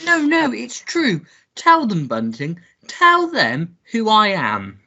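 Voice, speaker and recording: A woman playing a pompous young gentleman suitor in a melodramatic radio play, with a theatrical, drawn-out manner. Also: medium pitch, huge pitch swings, lo-fi sound, heavily acted. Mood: indignant. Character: pompous young gentleman suitor, self-satisfied, easily outraged.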